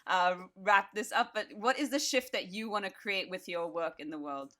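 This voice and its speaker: A woman's voice: mid-range voice, moderate pace, a bit bright. A woman hosting an interview, speaking in an earnest, reflective way and trailing off into fillers as she thinks aloud. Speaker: podcast host. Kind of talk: casual interview chat.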